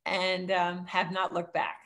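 A woman's voice: fairly low pitch, level tone, unhurried, fairly bright tone. A woman in her fifties with a Philadelphia accent, a real estate coach telling her story over a video call, chatty and full of ums. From Philadelphia area. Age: 50s. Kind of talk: casual video-call interview. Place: home room, indoors.

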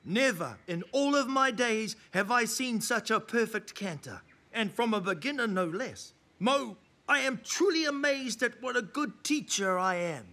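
A cool wild horse, smug and theatrical. The voice is high for a male and bright, laid-back in manner yet lively in its rise and fall. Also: a little slow, few pauses, full character voice. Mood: impressed, smug. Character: cool, smug, self-styled wild stallion. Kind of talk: preschool cartoon voice acting.

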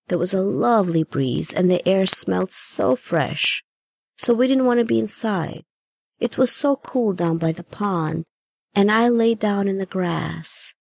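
A woman voicing a gentle, confused old grandmother ghost in a story, soft and hesitant. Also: medium pitch, vocal fry, husky, no echo, studio quality, pitched above the performer's own voice, full character voice. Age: older.

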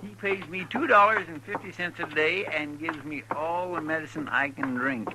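An actor playing a gullible, deferential sidekick in a 1950s radio western, with a folksy, naive manner. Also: male voice, on the high side, moderate pace, close to an everyday voice. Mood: eager, defensive. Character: gullible, deferential male frontier sidekick, eager and simple. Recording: noisy, crackly 1950s radio recording.

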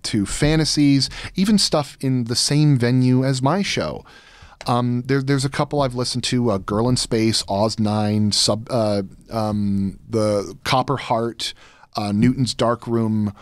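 A man's voice: medium pitch, takes it slowly, no echo. A man in his thirties talking earnestly and emphatically as an interview guest, close on a studio microphone. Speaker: podcast guest, audio drama creator. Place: small podcast studio, indoors. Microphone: close studio microphone with headphones.

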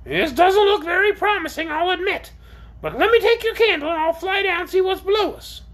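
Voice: extremely high pitch, expressive, brisk, fairly bright tone, pitched above the performer's own voice. A woman voicing a vain, chatty flying creature from a storybook, nervous yet brave, in an exclamatory, self-important way. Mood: brave but uneasy.

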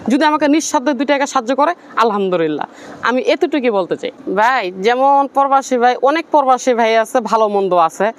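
A woman from Bangladesh speaks in a high, pleading voice, words tumbling out at a rushed pace. Her local comes through as she makes her case earnestly to the interviewer.

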